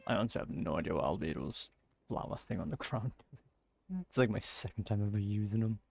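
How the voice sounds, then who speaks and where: Male game streamer, low gravelly voice, casual, thinking aloud, questioning. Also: a little fast, darkish tone. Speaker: gaming streamer. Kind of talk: casual game playthrough commentary.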